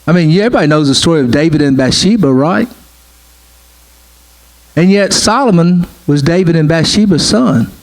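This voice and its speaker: A man's voice: on the high side, expressive, moderate pace, pauses often, rough recording. A middle-aged man preaching a sermon in a conversational way, repeating his phrases and often saying "man" and "right?". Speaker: pastor.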